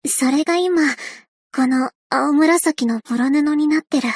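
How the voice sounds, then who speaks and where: High soft young flyer girl, calm n kind, reassuring, super dry booth sound. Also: unhurried, dry recording. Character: gentle young woman aviator, calm and kind. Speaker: game character voice lines. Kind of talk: anime-style game voice acting.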